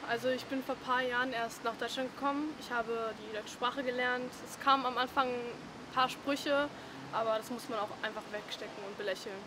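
A woman in her twenties from Iran speaks calmly into a reporter's handheld mic. Her voice is a little high. Clear outdoor street noise surrounds her. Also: brisk. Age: 20s. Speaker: interviewee on the street. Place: outdoors beside a building.

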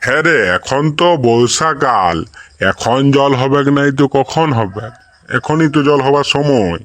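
A man's voice: medium pitch, lively intonation, unhurried, fairly bright tone, no echo. A man playing a folksy village schoolteacher in a comic cartoon, lecturing in a rural western Bengali accent and often checking that he is understood.

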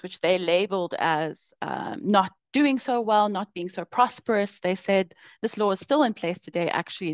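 A young woman presenting her research over slides on a video call, explaining in a measured way with occasional fillers and restarts. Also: medium pitch, no echo.

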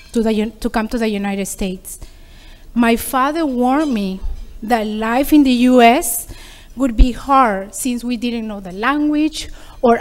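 A middle-aged woman from Peru speaks, her voice sitting slightly high. She delivers a prepared speech in an earnest, measured way, now and then restarting a word. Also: darkish tone. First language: Spanish. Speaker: program graduate giving a speech.

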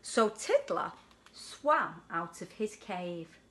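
A woman in her forties reads a picture book to children in an animated, deliberate way, leaning on the rhyming words. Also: fairly low pitch. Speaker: deputy headteacher reading a children's story. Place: indoors at home on a sofa.

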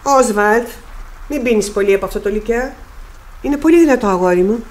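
An actress playing a composed, indulgent middle-aged mother in a radio play, gentle and coaxing, on an old recording. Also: on the high side, close to an everyday voice. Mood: motherly concern. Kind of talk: radio theatre acting.

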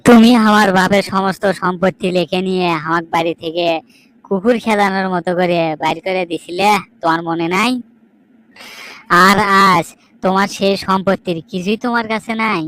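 A woman's voice: medium pitch, steady tone, normal speed, a bit bright, natural voice, rough recording. A woman voicing a wronged, proud younger brother in an amateur cartoon, plaintive and declamatory. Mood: indignant.

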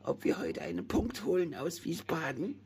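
A woman's voice: low voice, huge pitch swings, normal speed, darkish tone, slightly rough, heavily acted. A woman voicing a sweet, sniffly cheese worm puppet, coughing in a homemade puppet play. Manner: singsong, swooping, cosy. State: has a cold, coughing.

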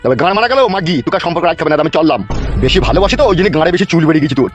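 A man plays a foul-mouthed cartoon husband and dad who talks at breakneck speed in a slightly high voice. He blusters and argues, defensive and exasperated. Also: expressive, rapid-fire, dull audio, faint music behind, close to an everyday voice. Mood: exasperated quarrelling. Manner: blustering, argumentative, swearing. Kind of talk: Bengali comedy cartoon dubbing.

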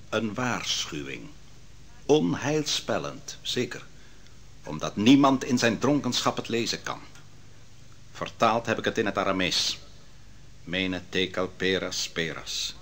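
An actor playing a grave, declamatory prophet pronouncing doom in a Dutch radio drama. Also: male voice, lowish voice, fairly bright tone, full character voice. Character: solemn male prophet pronouncing doom on a king.

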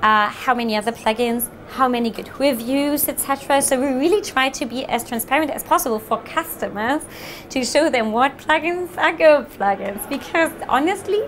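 Woman presenter, thirties, fairly high voice, friendly and fluent, faint room noise. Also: a bit bright. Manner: friendly, fluent, frequent ums. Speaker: software sales presenter. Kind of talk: meetup presentation with audience Q&A. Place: meetup room with audience.

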